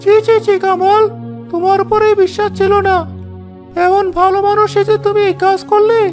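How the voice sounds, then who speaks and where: A woman's voice: extremely high pitch, flat delivery, normal speed, over music. A woman voicing an opinionated villager in a cartoon tale, stepping in and chiding. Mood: reproachful. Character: opinionated villager weighing in on a dispute. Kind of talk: cartoon story voice acting.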